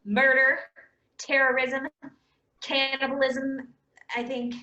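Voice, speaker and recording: Woman playing a high, feisty lady cop, echoey. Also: level tone, slow pace, pushed and bright, muffled recording, full character voice. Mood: stern. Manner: emphatic, comedic. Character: feisty defiant female police officer, plucky. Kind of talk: staged play reading.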